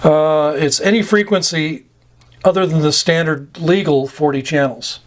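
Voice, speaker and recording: American man explaining terms, slightly high voice. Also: fairly even intonation. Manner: halting, pausing delivery in short phrases, plain-spoken. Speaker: instructor explaining CB radio terms. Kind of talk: informal explainer, glossary-style lesson.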